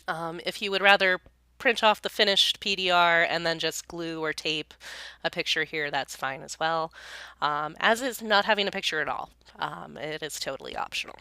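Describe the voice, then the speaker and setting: A woman's voice: deep voice, normal speed, pushed and bright, clear, resonant tone, no room sound. A young woman from California, slightly congested and sniffly, walking through a form on a screen recording in a chatty, friendly way full of ums and uhs. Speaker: county youth program staff. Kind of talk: casual screen-recording tutorial.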